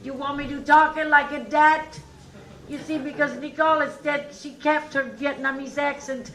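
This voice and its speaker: Woman stand-up host, from Italy, forceful bright tone, conversational delivery. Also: high voice, fairly even intonation, moderate pace, faint music behind, rough recording. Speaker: comedy show host.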